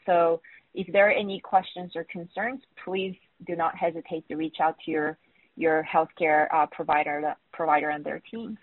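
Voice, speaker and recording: Woman, physician, patient webinar talk, hesitant, lowish voice, dry, thin phone-like audio. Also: no room sound. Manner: hesitant, many fillers and restarts.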